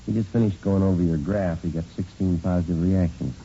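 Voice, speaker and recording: A terse male police detective from an old radio crime show. His voice is rock-bottom low and very dark, delivered in clipped, unflappable deadpan. It comes off a muffled vintage recording. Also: fairly quick, slightly rough. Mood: dry, probing. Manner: clipped, deadpan, matter-of-fact. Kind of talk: vintage radio police drama acting and narration.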